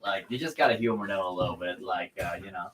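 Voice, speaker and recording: Guy on a live art stream, kinda low voice, rambles and repeats himself, far off mic. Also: quick pace, a bit bright. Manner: rambling, repeats himself, laughs through stories. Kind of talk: casual chat while painting. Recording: distant mic with background noise.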